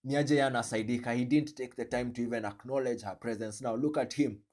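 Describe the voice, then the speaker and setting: Animated Kenyan man commenting, joking. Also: mid-range voice, a little fast, background noise. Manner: animated, joking, mixes Swahili slang with English. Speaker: reaction video host. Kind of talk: commentary on a played clip.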